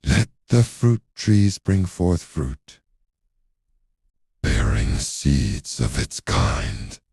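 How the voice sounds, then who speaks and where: God, extremely deep, solemn voice. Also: male voice, big pitch swings, darkish tone, dry recording, studio quality, full character voice. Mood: solemn command. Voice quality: very deep, husky. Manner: solemn, slow, commanding. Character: God, a majestic, commanding male creator.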